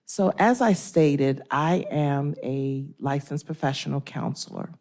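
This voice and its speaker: A woman counselor facilitating a panel discussion, measured and organized as she introduces the topic and guests. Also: sits low, darkish tone. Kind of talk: formal panel moderation.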